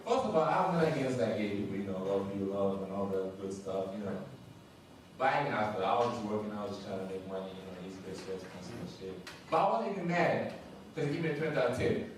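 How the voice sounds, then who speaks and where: A young man from Ghana with a Ghanaian accent performing a stand-up comedy set, loose and laughing, jumping into quick impressions of other people. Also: on the low side, animated, noisy background. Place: comedy club stage, room echoing. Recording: echoey club from far off.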